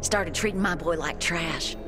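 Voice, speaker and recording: A woman's voice: lowish voice, unhurried, close to an everyday voice. Actress as a worried mother, music behind. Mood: uneasy, explaining. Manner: earnest, worried. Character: anxious, protective mother pleading for her missing son. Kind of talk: video game drama acting.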